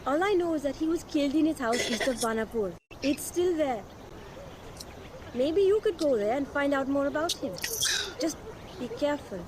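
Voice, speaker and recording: A serious young rebel leader who talks in a brisk, guarded way. Her voice sits fairly high. Loud game ambience runs behind her. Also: animated, noisy background. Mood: earnest. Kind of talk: video game acting.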